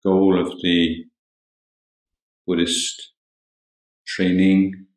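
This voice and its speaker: A deep-voiced man speaks as a Buddhist meditation teacher in an echoing room. His delivery is calm and extremely unhurried, with long pauses between short, measured phrases. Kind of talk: guided meditation talk. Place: indoor room at a monastery. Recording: roomy, echoing hall sound.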